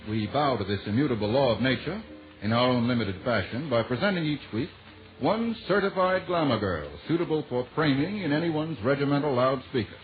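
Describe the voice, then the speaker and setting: A man's voice: medium pitch, animated, brisk, faint music behind. A man announcing in a wordy, mock-formal way, reading a scripted 1940s radio introduction on a muffled recording.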